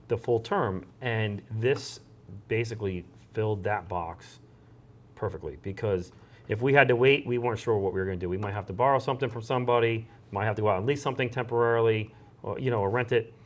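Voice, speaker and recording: A man's voice: fairly low pitch, fairly quick. A man speaking as an interview guest, a fire chief explaining things conversationally.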